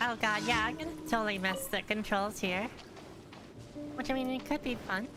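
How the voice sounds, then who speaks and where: A woman's voice: medium pitch, moderate pace. A woman chatting casually as she streams a game, informal and rambling, with game music behind her. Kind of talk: casual gameplay chat. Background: game music and battle sounds.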